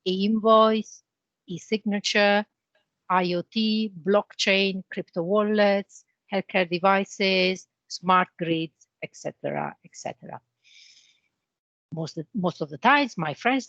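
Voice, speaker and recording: A woman with an Italian accent, a guest in a business interview, explaining with homely comparisons in a measured way. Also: mid-range voice, a bit bright, dry recording, lo-fi sound. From Italy. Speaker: interview guest, channel manager.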